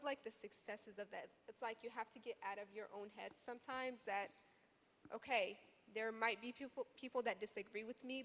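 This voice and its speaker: A woman's voice: mid-range voice, fairly bright tone. A woman in her twenties from Iraq speaking earnestly and conversationally as a panelist, often saying "like" and "you know". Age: 20s. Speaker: youth advocacy panelist and educator. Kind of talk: panel discussion, personal and conversational. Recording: reverberant hall with background noise.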